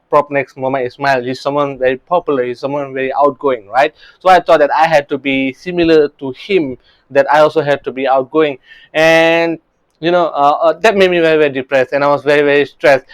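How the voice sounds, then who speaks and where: A man's voice: on the high side, smooth, no echo, rough recording. A man in his thirties from Singapore, a real estate coach talking earnestly about his early career, often restarting his sentences. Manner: earnest, many restarts and "you know, right?" tags.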